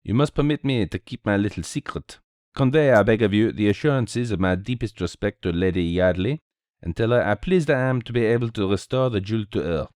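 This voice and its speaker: A man's voice: on the low side, animated, fairly quick, a little mellow, no room sound, clean studio sound, close to an everyday voice. A man voicing a small, cheerful, self-assured detective with a courteous, precise, theatrical manner, in a storybook reading. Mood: placid, courteous.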